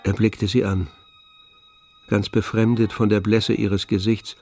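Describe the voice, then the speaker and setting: A man narrating a literary radio play from a script in a measured, solemn manner. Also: lowish voice, flat delivery, a little mellow, soft background music.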